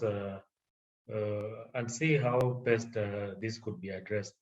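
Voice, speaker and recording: A man chairing an online meeting, halting and full of fillers, heard over a dull lo-fi line. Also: fairly low pitch. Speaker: meeting moderator.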